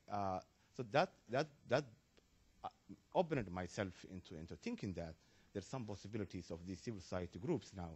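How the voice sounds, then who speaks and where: Husky low man, halting audience comment. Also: a little mellow, highs cut off. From Somalia. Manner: halting, with frequent restarts and rising emphasis. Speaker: audience member asking a question. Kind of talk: spontaneous panel floor comment. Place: conference hall.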